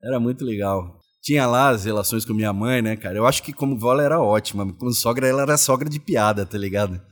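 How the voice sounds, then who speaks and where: A man in his forties with a Brazilian accent and an average-pitched voice. He talks casually as a guest, in informal, slangy language, as if among friends. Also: quick pace. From Salvador, Bahia, Brazil; moved to São Paulo state as a child. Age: 40s. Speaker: interview guest. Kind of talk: casual chat.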